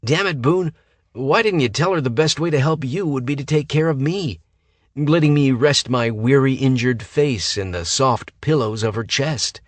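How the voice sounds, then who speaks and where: A man's voice: medium pitch, sing-song, moderate pace, dry recording, pitched above the performer's own voice. A man voicing a whining, lecherous young man. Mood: whiny. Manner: whining, loud.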